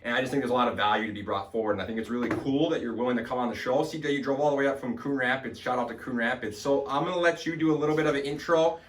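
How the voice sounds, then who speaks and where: A man's voice: mid-range voice, racing, few pauses, reverberant, far from the mic. Casting note: rambling young man hosting a podcast. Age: 20s. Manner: energetic, rambling, swears casually. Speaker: podcast host. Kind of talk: casual podcast chat. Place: small home studio.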